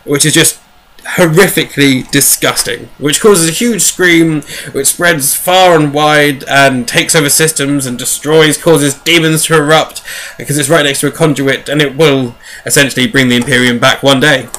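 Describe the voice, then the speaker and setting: A man's voice: fairly high pitch, fairly even intonation, normal speed, a bit bright. A man hosting a podcast, recapping in a casual, rambling, jokey way with wry asides. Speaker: podcast host. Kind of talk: casual chatty book recap.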